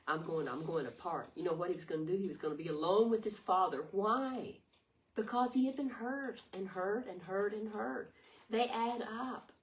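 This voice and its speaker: An older woman with a raspy voice giving an earnest, rambling devotional talk full of restarts. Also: mid-range voice, moderate pace. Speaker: devotional video host. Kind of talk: informal devotional sermon. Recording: distant mic with faint noise.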